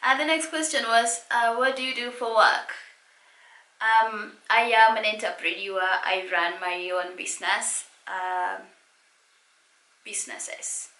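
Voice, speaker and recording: A woman's voice: mid-range voice, fairly even intonation, measured pace, pushed and bright. A woman in her late twenties from Kenya with a Kenyan accent, chatting casually and playfully as she co-hosts a Q&A vlog. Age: late 20s. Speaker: vlogger co-hosting a couples' channel. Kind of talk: casual Q&A chat. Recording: echoey room.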